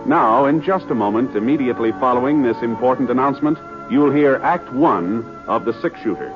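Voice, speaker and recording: An American man works as a radio announcer in a formal 1950s style, measured and declamatory. His voice is medium in pitch. The old recording is slightly muffled, with music clearly behind him. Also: lively intonation.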